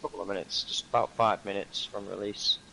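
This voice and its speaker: A calm man briefs his squad in a low, gravelly voice that stays flat in pitch. His tone is bright and edgy, and faint ambience runs underneath. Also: a little fast, slight background noise, poor audio. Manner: calm, steady and instructive, with frequent uhs. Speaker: roleplay squad leader. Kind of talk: in-character tactical briefing.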